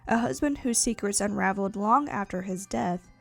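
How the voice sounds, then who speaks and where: Stuffy, congested young woman, gravelly voice. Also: medium pitch, normal speed, soft background music. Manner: chatty, many fillers. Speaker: true crime podcast host. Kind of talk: casual chatty podcast talk. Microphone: sitting to film, close mic.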